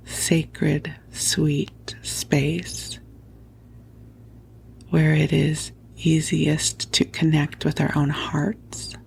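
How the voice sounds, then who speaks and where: A woman whispering softly and tenderly into a close microphone as she hosts a spiritual sleep podcast, soothing and affectionate. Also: low voice, a little hiss or hum. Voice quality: hushed, breathy whispering. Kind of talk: whispered guided sleep talk, spiritual meditation. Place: at home under a blanket.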